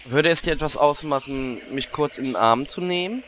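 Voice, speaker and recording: A man's voice: a little high, normal speed, fairly bright tone, a little hiss or hum, natural voice. A man playing a small, melodramatic orc who whines with fake sensitivity in a comedy audio drama. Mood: hurt, melodramatic. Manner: exaggerated, theatrical whining.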